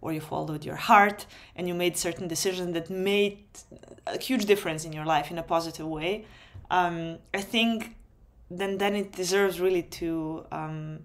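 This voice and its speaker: A woman whose first language is Serbian gives an online lecture in English. Her voice sits a little low, and she speaks in an unhurried way, filling pauses with ums and restarting phrases. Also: steady tone. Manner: unhurried, many ums and restarts, light laughs. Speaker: webinar host. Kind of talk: spoken lecture.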